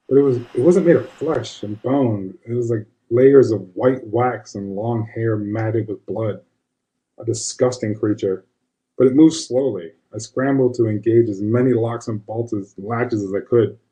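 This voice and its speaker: A man's voice: medium pitch, moderate pace, mellow, natural voice, low-quality recording. A man playing an ordinary, square fellow telling a first-person tale in a conversational, confiding way. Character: self-described square man recalling his witch grandmother. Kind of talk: first-person storytelling monologue.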